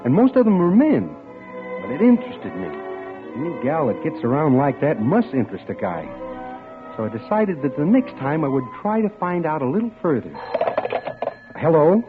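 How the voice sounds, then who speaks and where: A man's voice: fairly high pitch, big pitch swings, moderate pace. An actor playing an eager, lovestruck bank clerk who narrates his own story in a folksy, daydreaming way in a 1940s-style radio drama. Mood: eager, polite. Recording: tinny old radio recording with music.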